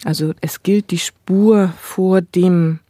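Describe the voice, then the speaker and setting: A woman scholar giving an interview. Her voice is mellow and soft-edged, recorded dry and close, and she explains things in long, considered, academic sentences. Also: lowish voice, steady tone, slow pace, no echo. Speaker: interviewed cultural studies scholar.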